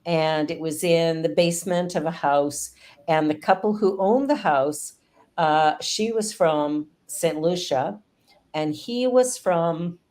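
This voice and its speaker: A middle-aged woman hosting a casual interview chat, effusive and quick to laugh. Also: sits low, a little mellow. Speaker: host and spiritual director.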